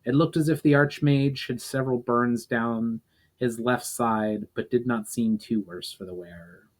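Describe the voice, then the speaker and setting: A man reading a fantasy story aloud as dramatic narration, deliberate and occasionally stumbling over words. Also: medium pitch. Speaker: writer reading own novel aloud.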